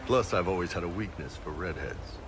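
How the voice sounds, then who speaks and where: A man's voice: sits low, animated, fairly quick, noisy background. A man voicing a big, blunt, jovial horned mercenary captain and spy in a video game, casual and swaggering. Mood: frank, confident. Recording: loud music behind.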